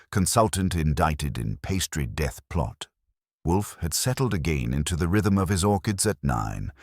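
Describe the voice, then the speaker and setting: Male narrator for first-person mystery fiction: extremely deep register, lively intonation. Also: dry recording, studio quality. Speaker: audiobook narrator. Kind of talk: scripted first-person detective story narration.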